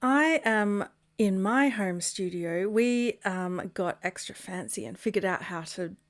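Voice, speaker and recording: A woman's voice: medium pitch, animated, unhurried. A woman co-hosting a casual podcast from her home studio over a video call. She talks in an upbeat, rambling way and giggles often. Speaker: podcast co-host. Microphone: home podcast mic over video call.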